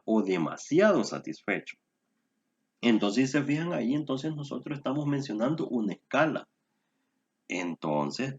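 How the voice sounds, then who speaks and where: A man's voice: lowish voice, husky, muffled recording. A man tutoring a statistics class online, explaining patiently and checking in with "¿verdad?". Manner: explanatory, often tags "¿verdad?".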